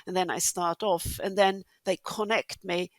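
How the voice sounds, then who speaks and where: Female host with a Swedish accent, native Swedish speaker, chatty, gravelly, dry sound. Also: lowish voice, fairly even intonation, unhurried, no room sound. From southern Sweden. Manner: chatty, laughs between phrases. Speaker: podcast host. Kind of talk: conversational interview.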